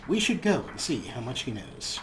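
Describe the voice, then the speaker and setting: A man's voice: mid-range voice, very animated, normal speed, slight background noise. A man giving casual let's play commentary as a gaming host, sometimes reading dialogue aloud.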